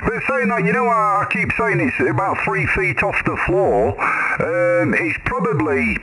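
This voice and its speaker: High, bright-voiced man over noisy radio. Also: very animated, a little slow. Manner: hesitant, rambling, repeats phrases. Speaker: amateur radio operator. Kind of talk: casual radio chat. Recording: noisy ham radio transmission with clicks.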